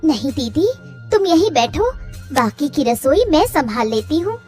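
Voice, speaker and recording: A woman's voice: high-pitched, very animated, unhurried, a little mellow, soft background music. A woman voicing a doting, anxious and childlike younger co-wife in a Hindi cartoon story. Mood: worried, insistent.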